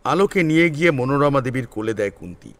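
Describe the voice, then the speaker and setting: A man narrating a story as a dramatic audio storyteller, in an expressive, suspenseful manner. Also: medium pitch, fast.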